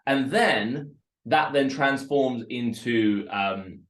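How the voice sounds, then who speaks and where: A man's voice: mid-range voice, slow. A Russian-born man talks with energy about his work. His voice sits in a medium register, and he often repeats a word as he sets up a point. The recording carries a noticeable roomy echo. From Russia, raised in West London. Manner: energetic, repeats words while building points. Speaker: entrepreneur guest. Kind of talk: casual interview talk.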